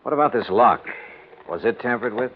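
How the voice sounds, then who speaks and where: An actor with an American accent playing a cool, polite, probing insurance investigator in 1950s radio noir style, measured and courteous. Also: male voice, mid-range voice, lively intonation, slight background noise, natural voice. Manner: measured, courteous, reserved questioning. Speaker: detective and narrator of the drama. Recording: muffled vintage radio drama recording.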